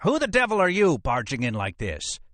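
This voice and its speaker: Indignant, faltering medical examiner for audiobook, male narrator acting, pitched far above his natural voice. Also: very animated, normal speed, bright, forceful tone, dry recording, heavily acted. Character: indignant gray-haired medical examiner, easily cowed.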